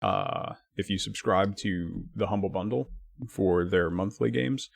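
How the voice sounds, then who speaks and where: A man streaming live, chatting casually with his viewers and thanking them by name, his talk full of lively swings up and down. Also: deep voice, unhurried, creaky, dry recording.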